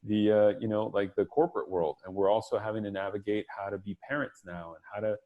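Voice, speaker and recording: Low voice guy on a video panel, chill, says you know a lot. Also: little pitch movement, fast, phone-quality sound. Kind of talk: casual panel conversation. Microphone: video call mic.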